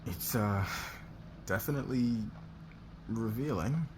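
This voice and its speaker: A man acting a demanding, curt fashion boss who gets flustered by attraction, in an ASMR roleplay with clipped orders and hesitant pauses. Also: medium pitch, background noise, lightly acted. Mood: flustered, businesslike.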